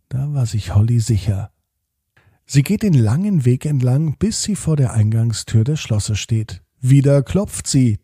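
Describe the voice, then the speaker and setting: Male narrator, for children's bedtime tales; dark, relaxed, husky tone, gentle storytelling delivery. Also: medium pitch, no room sound, studio quality.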